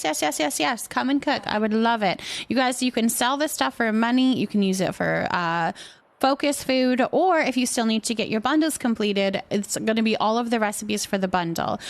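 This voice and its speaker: Higher-pitched woman chatting on a game stream. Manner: giggly, chatty. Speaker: game livestream host. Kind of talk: casual chat with viewers while playing a cooking game.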